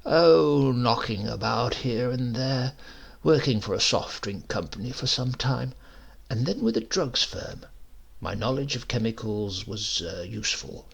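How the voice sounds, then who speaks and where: A man acts out a sly old poisoner from a humorous storybook. His voice is very creaky and husky, and he talks slowly and insinuatingly, with a seedy, false geniality. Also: mid-range voice. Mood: casually sinister.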